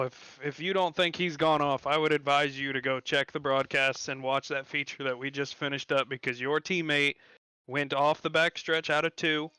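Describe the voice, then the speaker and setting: A man's voice: on the high side, bright, forceful tone, clear, resonant tone, no echo. A man interviewing drivers on a sim racing broadcast, easygoing and folksy as he retells the action.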